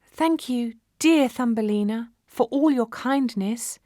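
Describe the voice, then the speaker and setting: A woman's voice: on the high side, slow pace, warm tone, dry recording, studio quality, pitched above the performer's own voice. A woman voicing a grateful, caring male swallow in a fairy tale, speaking in an earnest, tender way.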